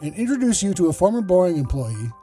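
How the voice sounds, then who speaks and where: A man hosting a news podcast, reading a scripted report in a measured, explanatory way. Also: high voice, sing-song, mellow, soft background music.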